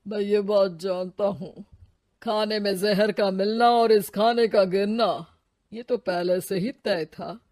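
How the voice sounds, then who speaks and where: A man playing a calm, fatherly old hermit in a moral story, speaking soothingly and solemnly. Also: sits high, slow, no echo. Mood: gentle.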